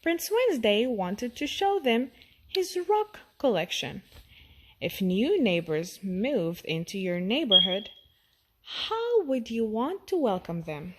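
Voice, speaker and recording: A woman reading a children's storybook aloud, expressive and addressing the young listener directly. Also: on the high side, slow, slight background noise. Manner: expressive, asks the listener questions.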